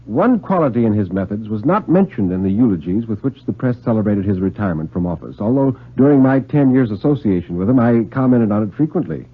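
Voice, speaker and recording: Expressive, husky vintage radio storyteller, male. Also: lowish voice. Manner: literary, expressive, deliberate phrasing. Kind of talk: dramatic 1950s radio reading of a story. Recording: muffled vintage 1950s radio recording.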